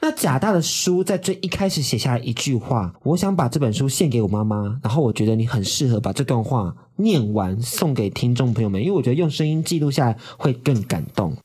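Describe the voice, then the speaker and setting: A man's voice: mid-range voice, animated, fairly quick, hardly any pauses, noisy background. A man with a Taiwanese accent, hosting a radio interview in a casual, chatty way. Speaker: radio show host.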